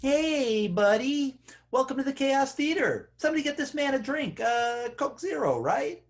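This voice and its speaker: A man's voice: very high-pitched, very animated, normal speed, full character voice. A man playing a smug, sneering club-owner villain in a script table read, with a mocking, theatrical, condescending delivery.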